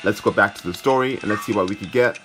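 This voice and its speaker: A man's voice: on the low side, fast, music in the background. A man hosting a gaming video, giving casual commentary as he plays. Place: indoor room, filming a handheld phone.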